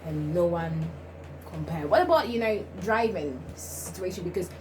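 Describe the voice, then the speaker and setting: A woman's voice: fairly low pitch, expressive, moderate pace. A young woman from Finland, the host of a vlog, chatting casually and animatedly with many fillers and laughs. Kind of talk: casual chat. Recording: noisy home recording.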